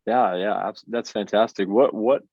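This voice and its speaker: A man's voice: fairly low pitch, normal speed, no room sound. A man hosting a podcast interview, eager and repeating words as he starts his questions. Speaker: podcast host. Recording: dull, dry lo-fi audio.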